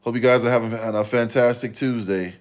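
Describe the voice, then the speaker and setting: A man's voice: mid-range voice, fairly even intonation, a little fast. A man in his fifties hosting a livestream, chatting casually with viewers in a rambling way and often repeating himself. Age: 50s. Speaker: livestream host.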